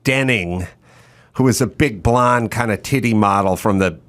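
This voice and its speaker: A man's voice: on the low side, moderate pace, a bit bright. Rambling middle-aged man hosting a podcast. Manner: rambling, digressive storytelling. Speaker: podcast host. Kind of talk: casual chat. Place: podcast studio. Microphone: studio mic with headphones.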